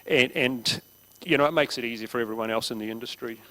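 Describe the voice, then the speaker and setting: A man's voice: mid-range voice, fast talker, pushed and bright, rough recording. Plain-spoken man at a seminar. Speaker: seminar speaker. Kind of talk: casual seminar talk.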